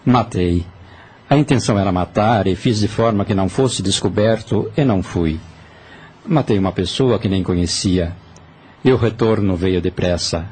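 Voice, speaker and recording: Low-voiced remorseful Brazilian ex-thug played by a man. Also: moderate pace, darkish tone, husky. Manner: confessional, remorseful. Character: guilt-ridden dead male spirit, a former hired thug confessing. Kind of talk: audio drama acting.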